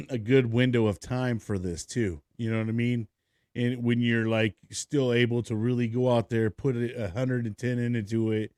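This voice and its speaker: A man's voice: medium pitch, darkish tone, no room sound, studio quality. Laid-back, slangy man in his forties. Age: 40s. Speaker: podcast host. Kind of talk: casual podcast interview.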